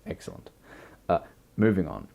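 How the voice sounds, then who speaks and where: A man with a croaky voice, hosting a livestream and musing slowly through a philosophical chat that builds into long lists. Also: deep voice, very animated, mellow. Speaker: livestream host.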